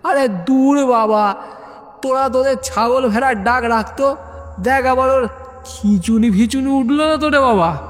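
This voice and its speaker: A man voicing an excitable, bossy club leader full of schemes in a comic audio story, emphatic and exasperated. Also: very high-pitched, a little slow, pitched above the performer's own voice. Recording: long reverb, music behind.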